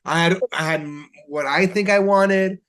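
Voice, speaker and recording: A man's voice: sits high, normal speed, fairly bright tone, clear, resonant tone. A congested man, a journalist guest, earnestly explaining his work in a casual interview. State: congested from allergies.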